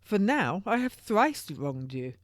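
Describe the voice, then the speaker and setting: A woman's voice: medium pitch, very animated, slow pace, no echo, clean studio sound, pitched above the performer's own voice. A woman voicing a theatrical, pompous king of England who speaks in grand, exclamatory, archaic courtly phrases in an audiobook. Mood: grandly effusive.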